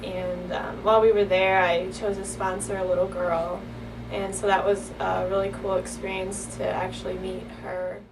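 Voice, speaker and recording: A woman giving a testimonial about a trip in a steady delivery. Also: medium pitch, moderate pace. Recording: distant mic with hum.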